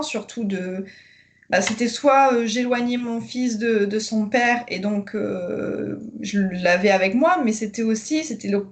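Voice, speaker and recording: A woman in her thirties from northern France, hesitantly recounting her personal journey with many fillers, over a video call. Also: a little high. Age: 30s. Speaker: coaching client giving a testimonial. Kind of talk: conversational interview.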